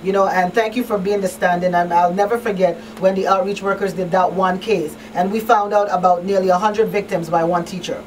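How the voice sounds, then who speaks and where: A woman's voice: mid-range voice, steady tone, a little fast, hardly any pauses. A woman hosting a talk show in a conversational, emphatic and plain-spoken way, repeating key phrases. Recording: distant mic, faint background noise.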